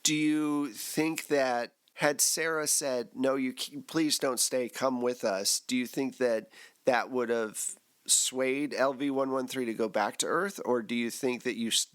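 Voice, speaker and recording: A man's voice: medium pitch, fairly even intonation, a little slow, clear, resonant tone. A man hosting a casual group debrief, putting questions to players in a conversational way. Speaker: host, game master of an actual play podcast.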